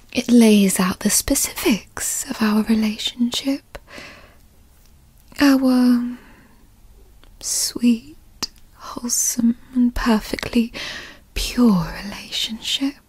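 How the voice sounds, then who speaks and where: A woman voicing a doting, possessive yandere girlfriend in a breathy whispered ASMR roleplay, coaxing and coyly controlling with formal wording. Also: fairly high pitch, slow pace, long pauses, a little mellow, no echo, heavily acted. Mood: coy, hesitant. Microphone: close microphone, dry sound.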